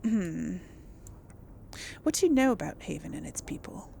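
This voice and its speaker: A woman doing casual, chatty video game commentary with a creaky edge to her voice. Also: on the low side, big pitch swings, normal speed, warm tone, slight background noise. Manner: chatty, talks to the audience. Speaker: gaming channel host playing through a role-playing game. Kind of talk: casual gameplay commentary with in-character dialogue read-alongs.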